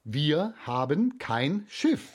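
A man's voice: a little high, very animated, extremely slow, husky, full character voice. A man acting an anxious, bookish foster father in a children's radio play, hesitant and fretful. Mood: amused, dismissive.

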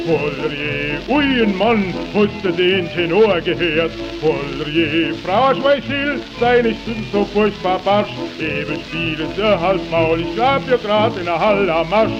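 A man singing a humorous song with a jaunty delivery on a 1930s recording. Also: sits high. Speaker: singer. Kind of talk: comic song on an old record. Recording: dull 1930s record with loud music.

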